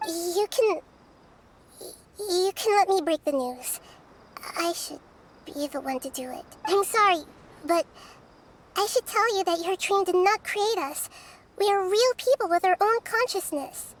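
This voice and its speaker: A calm, childlike young girl with an old soul in a video game. The voice is very high and gentle. The delivery is measured and thoughtful, with faint noise behind it. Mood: thoughtful, grave. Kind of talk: animated video game voice acting.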